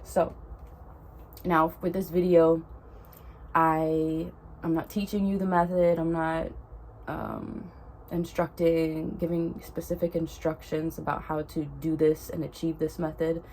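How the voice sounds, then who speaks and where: A woman's voice: sits low, little pitch movement, unhurried, a little mellow, slight background noise, poor audio. A young woman vlogger, congested with a cold, chatting to camera in a rambling way that keeps correcting itself. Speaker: spiritual vlogger. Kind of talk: casual talk to camera.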